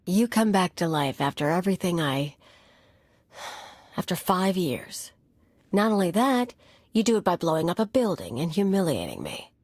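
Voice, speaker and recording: A woman's voice: fairly low pitch, very animated, normal speed, long pauses, darkish tone, no room sound, studio quality. An actress playing a brash, cynical female anarchist bomber in a video game cutscene, talking in a clipped, tough, sardonic way. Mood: bitter.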